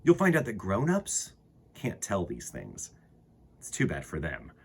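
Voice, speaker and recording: A man with a husky voice reading aloud to children as a storytime host, expressively, with dramatic emphasis and pauses. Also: deep voice, wildly swooping pitch, a little hiss or hum. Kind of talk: animated picture-book read-aloud for children.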